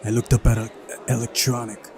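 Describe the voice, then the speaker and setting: A man's voice: medium pitch, level tone, a little fast, warm tone. A man doing a visual novel playthrough, switching between reading narration aloud and casual commentary.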